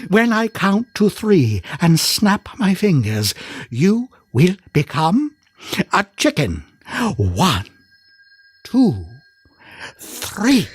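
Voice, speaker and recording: An actor playing a theatrical stage hypnotist in an audio drama, with a grandiose, commanding manner. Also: male voice, high-pitched, wildly swooping pitch, extremely slow, breathy edge, no echo, heavily acted. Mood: hushed, hypnotic.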